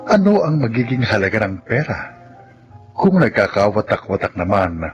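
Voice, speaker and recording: A man narrating and announcing for a radio drama in a solemn, measured, dramatic style. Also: lowish voice, sing-song, highs cut off, faint music behind.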